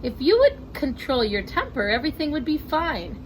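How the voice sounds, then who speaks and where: A woman's voice: high-pitched, big pitch swings, moderate pace, rough recording. A woman voicing a crafty, defensive storybook ghost with a cajoling, retorting manner. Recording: clear furnace noise in a basement.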